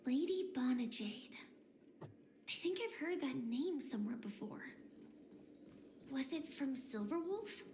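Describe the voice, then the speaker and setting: A woman's voice: sits high, animated, unhurried, pauses often, darkish tone, roomy sound, a little hiss or hum. An actress voicing a sly, curious young woman who muses to herself in a video game, with music behind her. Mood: pensive. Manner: musing, talking to herself. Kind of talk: video game character dialogue. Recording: game dialogue over prominent music.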